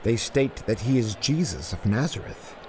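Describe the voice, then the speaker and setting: A man's voice: mid-range voice, expressive, moderate pace, noisy background, natural voice. A man acting a formal, dutiful Roman officer in an amateur biblical radio drama, with declamatory delivery.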